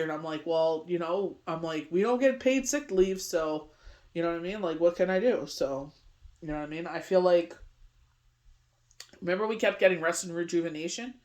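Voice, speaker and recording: A woman's voice: lowish voice, darkish tone. A middle-aged woman from Canada giving a casual daily tarot reading, rambling and constantly saying "you know what I mean". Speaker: tarot reader.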